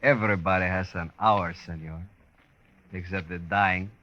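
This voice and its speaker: An actor playing a taunting, mockingly courteous Cuban go-between with a Spanish accent in a radio crime drama. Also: male voice, sits low, fairly even intonation, normal speed, pushed and bright. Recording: muffled old radio recording.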